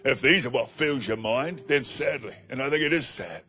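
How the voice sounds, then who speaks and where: A man in his sixties preaching a sermon on a studio stage into a handheld mic, emphatic and slowing down to stress key phrases. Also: medium pitch, animated, bright, forceful tone, soft background music. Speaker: pastor. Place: studio stage with colored backdrop.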